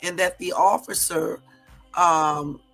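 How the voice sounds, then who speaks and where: Hoarse woman hosting a casual livestream. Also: lowish voice, steady tone, slow pace, a bit bright, soft background music. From US West Coast. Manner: chatty, addresses viewers as y'all, many fillers. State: hoarse from a cold. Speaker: livestream host. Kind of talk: casual live-stream chat.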